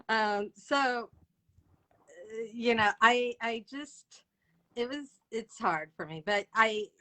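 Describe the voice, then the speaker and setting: A woman's voice: a little high, very slow, long pauses, sharp tone. A woman hosting a casual, informal live interview, chatting freely and often laughing as she talks. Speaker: interview host.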